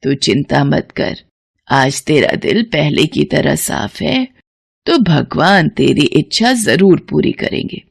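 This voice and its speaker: A woman playing a kindly, wise old village woman in a cartoon story, husky and creaky, speaking in a slow, soothing way. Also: sits low, animated, heavily acted. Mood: wise, reassuring.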